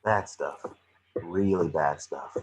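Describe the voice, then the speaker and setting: A man's voice: sits low, slow pace, slight background noise. A man reading the part of a wisecracking creature detective with amnesia in an online script table reading. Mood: wary.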